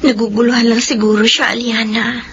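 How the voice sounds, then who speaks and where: A woman's voice: a little high, little pitch movement, a little fast, highs cut off, slight background noise, natural voice. A woman playing an anxious pregnant young woman in a radio drama, earnest, insistent and emotional. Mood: worried. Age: young adult. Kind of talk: Filipino radio drama acting.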